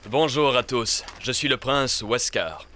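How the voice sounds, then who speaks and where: A man playing a courteous young noble prince with formal, gracious speech in a French animated series dub. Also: medium pitch, a little slow, slight background noise. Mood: formal, welcoming.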